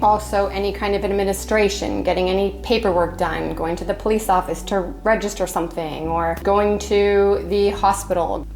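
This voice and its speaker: Chatty Canadian woman vlogger, slightly low voice. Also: darkish tone. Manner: chatty, friendly, many "like" fillers. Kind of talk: casual talk to camera.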